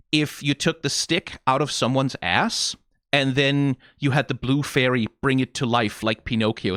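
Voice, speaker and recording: A man's voice: medium pitch, fairly bright tone, no echo, clean studio sound. A young man with a Danish accent, talking casually and wryly to the camera as a reaction YouTuber, playful and swearing for emphasis. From Denmark (Funen). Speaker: YouTuber reacting to a game trailer. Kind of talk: casual commentary.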